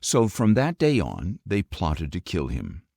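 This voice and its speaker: A man with a fairly low voice reads scripture as an audiobook narrator. His intonation moves widely, with a measured, expressive storytelling delivery. The recording is dry and close, free of room sound. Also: gravelly, no room sound.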